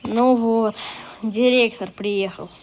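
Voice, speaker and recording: A woman's voice: fairly high pitch, fairly even intonation, takes it slowly, a little mellow, highs cut off, slight background noise. A woman playing an earnest, stubborn schoolboy in a radio play, frank and a little cheeky. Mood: stubborn, uneasy. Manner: boyish, blunt, stubborn.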